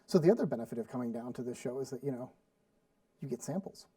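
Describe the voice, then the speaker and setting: Man, cooking show host, rambly and casual, mellow tone, dry distant mic. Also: mid-range voice, animated, fast talker, no echo. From Sweden. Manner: chatty, rambling with many fillers and restarts. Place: studio kitchen.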